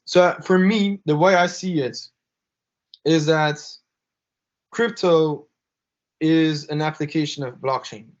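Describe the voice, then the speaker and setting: A young man, half French and half Lebanese, answering interview questions haltingly with many fillers and restarts. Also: fairly high pitch, fairly even intonation. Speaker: interview guest, blockchain executive. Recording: slightly dull podcast audio.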